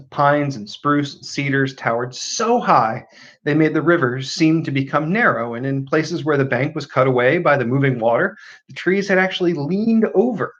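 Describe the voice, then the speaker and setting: A man reading a novel aloud as an expressive narrator, with deliberate, dramatic pauses and emphasis. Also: fairly high pitch, muffled recording.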